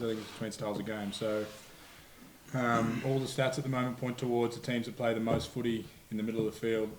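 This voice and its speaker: A man's voice: on the low side, darkish tone, echoey room, slight background noise, low-quality recording. A young Australian man, a rugby player, answering press conference questions in a laid-back, slangy, matter-of-fact way.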